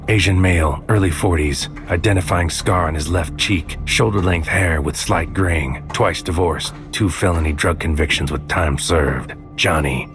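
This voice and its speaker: A man performs a brooding, anxious male infiltrator in a sci-fi audio drama. The voice is very low, and the phrasing is terse, poetic and deliberate. Music plays under the narration. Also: lightly acted. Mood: ominous, brooding. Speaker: narrator and lead character. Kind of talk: scripted first-person noir sci-fi audio drama narration.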